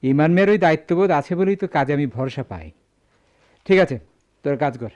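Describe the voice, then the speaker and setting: Radio drama employer male actor: authoritative, measured merchant, slightly higher male voice, dull lo-fi recording. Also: lively intonation, a little mellow, close to an everyday voice. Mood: approving. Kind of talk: Bengali radio drama acting.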